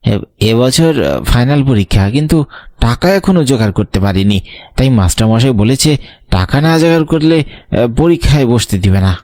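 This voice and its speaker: A man's voice: medium pitch, animated, a little fast, darkish tone, no room sound, close to an everyday voice. A man playing an earnest young student, the wronged younger brother, in a horror audio story. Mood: hesitant, pleading.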